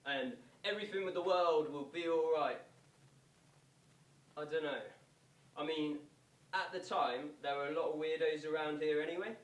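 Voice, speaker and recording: A man's voice: a little high, moderate pace, pauses often, natural voice. A man playing a sceptical, plain-spoken local football supporter in a verbatim stage monologue. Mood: wry, dubious. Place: theatre performance space, distant microphone. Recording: echoey stage recording from far off.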